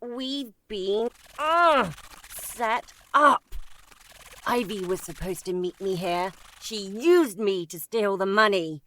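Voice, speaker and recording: A woman playing a wry, cool cat burglar in an audio drama, speaking in a measured, hesitant way. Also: medium pitch, fairly bright tone. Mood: wary.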